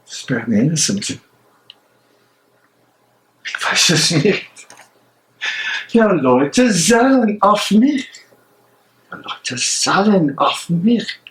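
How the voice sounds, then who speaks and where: A man in a dramatic stage reading playing a tormented, guilt-ridden German theologian, speaking haltingly and theatrically. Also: high voice, big pitch swings, a bit bright, full character voice, low-quality recording. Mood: anguished self-reproach.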